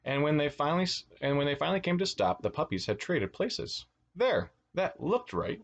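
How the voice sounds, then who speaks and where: A man's voice: fairly high pitch, quick pace. A man reading a children's storybook aloud as a teacher, expressively, with asides to his young listeners. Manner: expressive, acts out words and exclamations.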